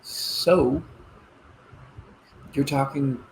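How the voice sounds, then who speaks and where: A man's voice: medium pitch, steady tone, very slow, gravelly, a little hiss or hum, rough recording. A man playing an eager, placating adult son who is a military officer, in naturalistic play dialogue. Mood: eager, proud.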